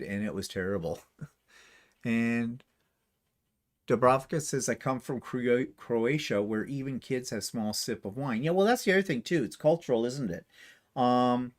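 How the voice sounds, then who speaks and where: A man chats loosely through a live show, reading out viewers' comments and tossing in wry little asides. His voice sits at an ordinary middle pitch, and his talk is easygoing. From Canada. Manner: reads comments aloud, chuckling asides. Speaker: livestream co-host.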